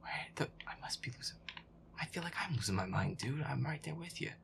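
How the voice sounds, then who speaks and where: A man muttering irritably and swearing to himself as he gives commentary on a horror game, his voice breathy and often half-whispered. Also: mid-range voice, animated, a little fast, background noise. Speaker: gamer playing in character.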